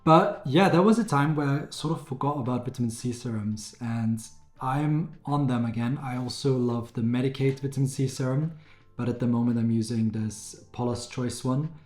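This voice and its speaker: A man with a raspy, slightly hoarse voice, chatting casually to camera as a beauty vlogger, rambling and correcting himself as he goes. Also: medium pitch, moderate pace, a little mellow. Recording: roomy echo, faint music.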